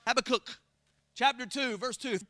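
A man's voice: very high-pitched, normal speed, pushed and bright, no echo. A man from Florida with a Southern accent preaching a Pentecostal sermon, calling out to the congregation for amens and responses. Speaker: pastor.

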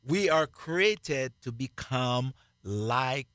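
A man with a Jamaican accent, preaching on a radio broadcast in a slow, emphatic way and repeating key phrases. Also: medium pitch, expressive, a bit bright, no echo, clean studio sound. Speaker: pastor. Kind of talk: radio sermon, co-hosted Bible teaching.

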